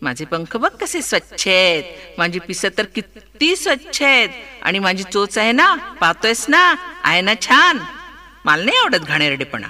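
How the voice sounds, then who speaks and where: A man's voice: high-pitched, very animated, measured pace, pushed and bright, heavily acted. A man voicing a vain, fussy male parrot who is proud of his clean feathers and talks with haughty disgust in a storybook tale.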